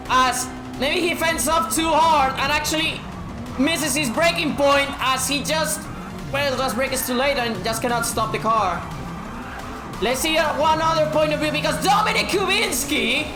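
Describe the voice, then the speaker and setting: A high-voiced woman calls a sim race with a strained, sore throat, swearing freely and shouting at the action. Her voice rings in an echoey room. Music plays under her. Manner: swears a lot, shouts at big moments, drops to a low tone to save her voice. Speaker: race commentator. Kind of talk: excited sim racing commentary. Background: background music.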